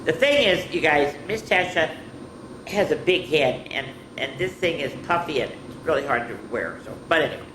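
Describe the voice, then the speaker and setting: A woman's voice: deep voice, animated, moderate pace. A woman hosting a children's story time, reading picture books aloud with chatty, playful asides. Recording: roomy with background noise.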